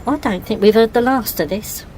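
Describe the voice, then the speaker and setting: A woman's voice: mid-range voice, moderate pace, darkish tone, a little hiss or hum, close to an everyday voice. An actress playing an earnest, ominous woman, bitter and haunted, in an audio drama. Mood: bitter, foreboding. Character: Evelyn, bitter, brooding woman haunted by fatal crash.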